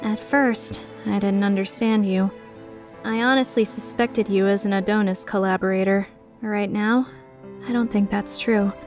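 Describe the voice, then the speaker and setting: A woman playing an earnest, timid, tender-hearted young woman in a dubbed visual novel, speaking in a measured way. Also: mid-range voice, fairly even intonation, a little mellow, soft background music, lightly acted. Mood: sincere. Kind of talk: dubbed visual novel acting with inner narration.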